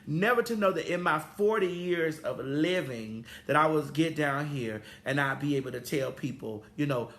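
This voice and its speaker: A woman's voice: sits low, animated, moderate pace, few pauses. A woman in her forties hosting a casual talk show, profane and preachy, drawling out her stories. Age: 40s. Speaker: host.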